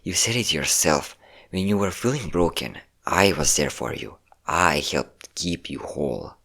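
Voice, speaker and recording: A man's voice: low-pitched, lively intonation, a little slow, gravelly, sharp tone, heavily acted. A man playing a possessive, manipulative yandere ex-boyfriend in a scripted audio roleplay, speaking in a coaxing, menacing way. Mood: coaxing, controlled. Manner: coaxing, menacing, calling listener pet names, nervous chuckles. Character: possessive, manipulative yandere ex-boyfriend hunting his hiding lover.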